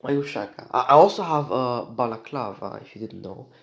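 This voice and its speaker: Sick teen boy vlogging, rambly and jokey, lots of slang, restarts a lot, medium pitch. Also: lively intonation, fairly quick, gravelly. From Romania. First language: Romanian. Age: teens. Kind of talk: casual rambling monologue.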